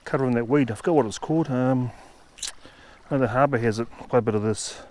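A man in his forties with a New Zealand accent, muttering a relaxed running commentary while fishing from a harbour beach, recorded on an action camera. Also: medium pitch, quick pace, slight background noise. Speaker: fishing vlogger.

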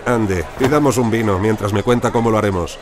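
An actor playing a proud, demanding American senator and collector with a pompous, theatrical manner in an audio drama. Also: male voice, fairly low pitch, brisk, background noise, close to an everyday voice. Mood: bargaining, haughty.

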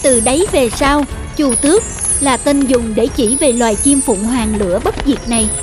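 A woman's voice: high voice, moderate pace, music in the background. A woman reading scripted fairy-tale narration for a cartoon.

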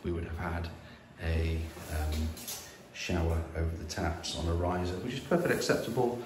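A man's voice: low voice, moderate pace, background noise. A man giving a casual walkthrough of an empty house, talking with many fillers and restarts into a handheld phone. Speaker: property investor. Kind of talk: walkthrough video. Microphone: phone at arm's length.

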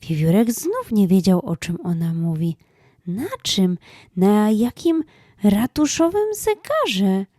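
A woman narrating a children's story in a calm, scripted storytelling style. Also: mid-range voice, no echo, studio quality.

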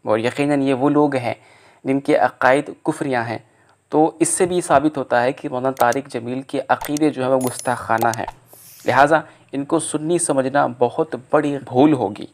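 Man giving admonishing religious commentary. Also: medium pitch. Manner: admonishing, explanatory. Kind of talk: polemical commentary.

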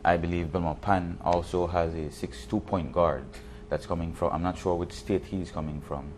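A man's voice: low voice, steady tone, normal speed, darkish tone, slight background noise. A man, explaining things as a guest in a studio interview and repeating words as he goes. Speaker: basketball league official, interview guest. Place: TV studio set, indoors. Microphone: lapel.

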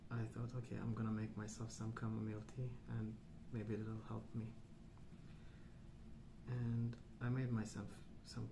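A man speaking softly and hushed in an unhurried, halting ASMR ramble, often trailing off. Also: mid-range voice. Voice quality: soft, hushed. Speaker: ASMR video host. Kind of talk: soft-spoken ASMR rambling. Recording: clear background noise.

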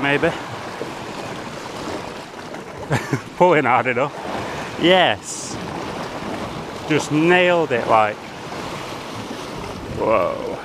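A young man filming himself fishing from sea rocks, chatting excitedly as he reels in fish, recorded on a head-mounted action camera. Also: mid-range voice. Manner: excitable, laughing, chatty while reeling in fish. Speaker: angler filming his own fishing trip. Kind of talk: casual running commentary. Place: outdoors on rocky sea shore by waves. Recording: action camera with loud wind and surf.

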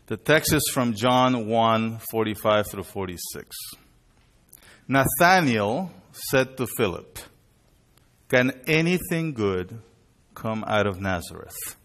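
A middle-aged man, born in Chile and raised in Panama, preaching at a lectern in a measured, deliberate way. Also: fairly low pitch, animated, fairly bright tone. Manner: measured, deliberate delivery with bilingual openings. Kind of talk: sermon at a worship conference. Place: indoor hall with a screen. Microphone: lectern mic, far camera.

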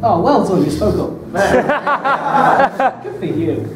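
A man's voice: sits high, very animated, slow. Young male stand-up with a stutter, self-deprecating and nervous; handheld mic in a small club, roomy and noisy. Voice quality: stutters, repeating words. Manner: nervous, self-mocking, laughing at own jokes. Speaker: stand-up comedian. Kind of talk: stand-up comedy set. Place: small dark club stage. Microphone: handheld mic, filmed from far in the room. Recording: echoey club room taped from far off, loud room noise.

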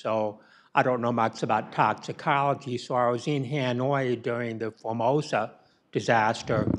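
A man's voice: medium pitch, fairly bright tone. A middle-aged man asking a question from the audience, rambling and tagging his sentences with okay and all right. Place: conference ballroom. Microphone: handheld mic.

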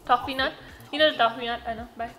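A woman's voice: fairly high pitch, fairly even intonation, fast, fairly bright tone. Chatty woman vlogging from her kitchen. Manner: chatty, giggly, with frequent "like" fillers. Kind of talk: casual vlog chat. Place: home kitchen and dining room, indoors. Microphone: handheld camera held at arm's length.

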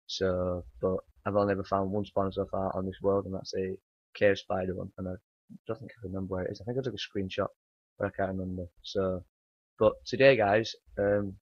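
A man with a croaky morning voice, rambling through casual gameplay commentary with many ums and uhs. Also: low-pitched, level tone, a little fast, no room sound. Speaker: gaming channel host. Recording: dry, dull lo-fi home mic.

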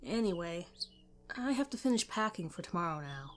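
A woman's voice: lowish voice, very animated, a little fast. A woman reading a scripted first-person story as a narrator.

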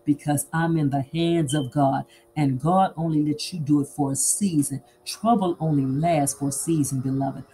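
Preacher w a dark mellow midrange voice, emphatic and repetitive, asks questions then answers them, bit of hum and noise behind. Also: mid-range voice, steady tone, moderate pace, poor audio. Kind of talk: sermon.

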